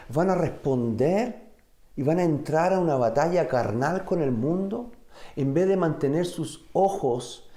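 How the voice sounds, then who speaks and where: A man from the United States, a native English speaker, preaching a sermon in a deliberate way, pausing and searching for words. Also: fairly high pitch, warm tone. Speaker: pastor. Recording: roomy, echoing sound.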